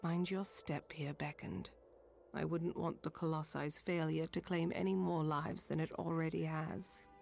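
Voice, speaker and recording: An actress playing a solemn armored female guide in a fantasy video game, speaking formally and gravely. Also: low voice, little pitch movement, a little slow, a little mellow, slight background noise. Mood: grave, cautioning.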